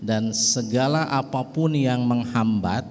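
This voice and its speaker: Middle-aged man, Betawi accent, folksy ceremony speech, mellow tone, hall echo. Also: medium pitch, slow pace. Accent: Betawi and West Java Indonesian. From West Java, Indonesia. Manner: folksy, with frequent "ya" asides. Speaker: speaker at an organization event. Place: large hall.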